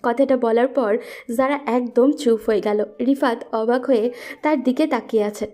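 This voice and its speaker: A woman narrates a romantic tale from a script. Her voice sits on the high side, with a dark, gentle mellowness. She works as the storyteller. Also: moderate pace.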